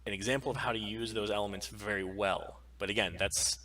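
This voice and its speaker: A man's voice: lowish voice, fairly even intonation, a little fast, recorded from a distance, a crowd in the background. A man co-hosting a casual pop-culture live stream, talking in a sweary, enthusiastic, geeky way. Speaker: podcast co-host on a live stream.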